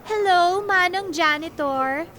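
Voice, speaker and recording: An actress playing a snobbish young office bully in a Filipino radio drama, sneering with disgust and mockery. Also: female voice, very high voice, slow pace, crowd noise. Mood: mocking. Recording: music bed under dialogue.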